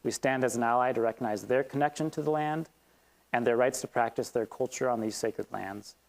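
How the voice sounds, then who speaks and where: A man hosts a community talk evening, reading prepared remarks in a steady manner. When he thanks sponsors and introduces the guest, he talks more loosely, with a few ums. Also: mid-range voice, fairly quick, poor audio. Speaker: event host. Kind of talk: reading a land acknowledgement and introducing a speaker. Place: event hall with audience.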